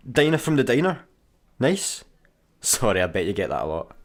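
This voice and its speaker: Sarcastic, irritable Scottish busker. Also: male voice, mid-range voice, huge pitch swings, normal speed. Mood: awkward. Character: grumpy, sarcastic, easily wounded young space busker. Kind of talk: radio sitcom acting.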